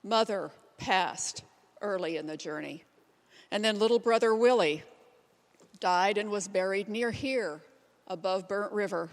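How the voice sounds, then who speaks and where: In a reverberant hall, a woman performs as a plainspoken pioneer woman. She tells of her frontier life in a deliberate, storytelling monologue at a middling pitch. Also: close to an everyday voice. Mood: somber. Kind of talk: readers theater monologue. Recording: echoey hall, long reverb.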